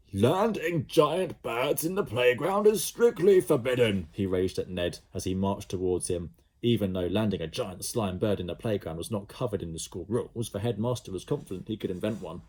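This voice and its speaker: A male teacher reading a storybook aloud to young pupils, telling it in a lively, expressive way. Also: on the low side, husky.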